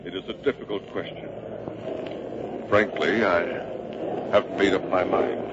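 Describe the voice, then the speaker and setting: An actor playing a dignified, burdened Revolutionary War commander, speaking in measured, formal 1940s radio-drama style. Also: male voice, deep voice, fairly even intonation. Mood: grave, resolute. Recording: noisy, dull old radio broadcast.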